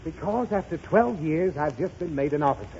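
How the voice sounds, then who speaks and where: A man's voice: fairly high pitch, animated, a little fast, vocal fry, darkish tone. A man playing an exasperated, blustering father in a 1930s radio comedy. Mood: irritated. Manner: irritable, blustering. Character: exasperated, flustered father, a pompous lawyer. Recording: muffled, hissy 1930s radio recording.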